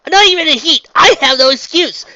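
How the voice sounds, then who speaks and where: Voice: mid-range voice, animated, unhurried, dry recording, dull audio, pitched above the performer's own voice. A narrator voicing a guilt-ridden young female pegasus in a storybook, who blurts and stammers. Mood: tearful, guilty.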